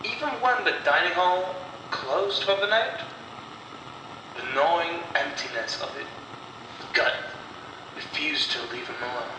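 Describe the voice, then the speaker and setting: Male horror storyteller, unhurried, dramatic pauses, fairly high voice, scripted narration. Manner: slow, deliberate delivery with dramatic pauses. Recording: echoey, distant voice with clear noise.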